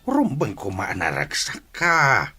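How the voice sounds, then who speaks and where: A man with an Ilocano accent acting a gentle, consoling old grandfather in a drama. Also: lowish voice, huge pitch swings, slow, breathy edge, faint music behind, full character voice. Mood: gentle, advising.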